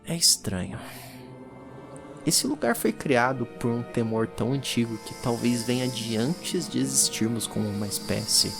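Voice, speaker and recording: Horror audio drama: man as haunted, exhausted expedition diarist, Brazilian accent, grave and measured, mid-range voice over music. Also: mid-range voice, animated, vocal fry. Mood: hushed awe. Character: weary, haunted 1850s occult expedition diarist. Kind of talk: dramatic reading of a horror journal.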